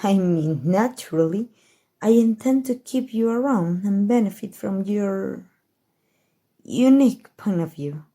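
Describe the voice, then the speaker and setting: A woman with a Mexican accent playing a haughty, scheming evil queen in a soft, musing ASMR roleplay monologue. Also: mid-range voice, lively intonation. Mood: smug, coaxing. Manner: slow, measured, musing delivery addressed to a listener.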